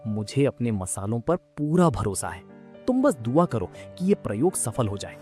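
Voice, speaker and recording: A man's voice: medium pitch, sing-song, unhurried, mellow, soft background music. A man playing a humble, hardworking street food seller in a cartoon, polite, earnest and hopeful. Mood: earnest, determined.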